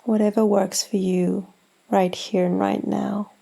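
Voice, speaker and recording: A woman leading a guided meditation in a gentle, soothing, unhurried manner with long pauses. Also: medium pitch, little pitch movement, vocal fry, slightly rough. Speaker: yoga nidra meditation guide.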